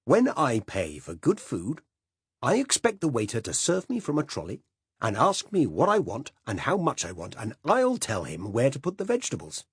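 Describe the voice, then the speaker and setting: A man's voice: on the low side, sing-song, moderate pace, husky, no room sound, clean studio sound, voice pushed up. A man reading aloud voices a blunt, domineering older man who growls his opinions at the dinner table. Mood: gruff commanding. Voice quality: growling, commanding.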